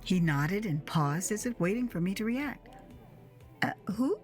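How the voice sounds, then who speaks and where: A woman reads a dramatized first-person memoir. Her delivery is conversational and expressive, with animated, wide-moving intonation. Faint music runs behind her voice. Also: on the low side, normal speed. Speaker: author and narrator.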